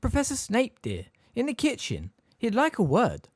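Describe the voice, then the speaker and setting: A narrator voicing a brisk, motherly middle-aged woman in a storybook, chiding and urging. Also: wildly swooping pitch, slightly rough, no room sound, studio quality. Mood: brisk, reproving. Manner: chiding, urging, high-voiced.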